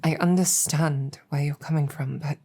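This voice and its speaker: A woman voicing an earnest, protective succubus demon general in an intimate audio roleplay, speaking deliberately with halting pauses. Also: sits low, fairly even intonation, dark tone. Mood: earnest, upset. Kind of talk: intimate improvised roleplay storytelling, ASMR-adjacent.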